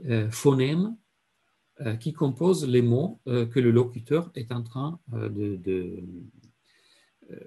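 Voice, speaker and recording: A man with an Italian accent lecturing in French, halting with many fillers and repeated words, and often clearing his throat. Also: medium pitch, dark tone. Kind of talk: university lecture.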